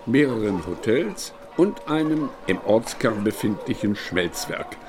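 An actor playing a formal chronicler, narrating an imperial voyage from a script in a radio play. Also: male voice, on the low side, big pitch swings, a little slow. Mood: matter-of-fact.

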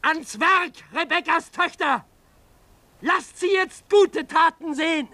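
An actor in a radio play voicing a rebel leader, shouting drawn-out commands in the night. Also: extremely high pitch, recorded from a distance, full character voice. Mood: rousing.

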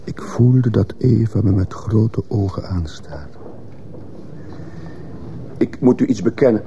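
A man's voice: lowish voice, takes it slowly, vocal fry, dark tone, lo-fi sound. An actor playing a guilt-ridden schoolteacher who narrates his own story in a sombre, introspective way in a radio drama. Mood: tense confession. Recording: noisy old radio play recording.

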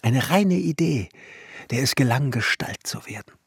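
An actor playing a solemn, visionary glassmaker and alchemist in a radio play, musing in literary German and often dropping into hushed tones. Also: male voice, medium pitch, expressive, normal speed, creaky, slightly rough, dry recording, exaggerated voice. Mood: rapt. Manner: musing, declamatory, drops into hushed whispers. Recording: studio radio play with music underneath.

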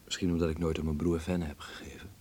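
An actor playing a gentle, teasing young man devoted to his work in a Dutch radio play, speaking tenderly and a little haltingly. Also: flat delivery. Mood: gently teasing. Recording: noisy radio play recording with low rumble.

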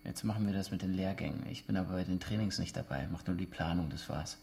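An actor playing a guarded, proud military contractor under interrogation in a naturalistic radio drama, terse and evasive. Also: male voice, sits low, fast talker, vocal fry, darkish tone. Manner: terse, evasive answers, flaring into denial.

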